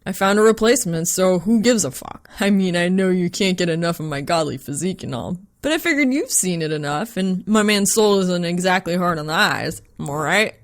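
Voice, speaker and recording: A woman's voice: on the low side, lively intonation, brisk, few pauses. A woman voicing a brash, crude young male college roommate with a cocky, bellowing manner, in an audiobook-style story reading. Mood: cocky, careless. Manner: bellowing, cocky, swearing casually.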